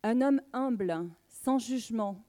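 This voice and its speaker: A woman in a soft-edged, mellow tone. Her voice sits a little high for a woman. She delivers a prepared personal testimony earnestly, in formal, measured phrases. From southwest France.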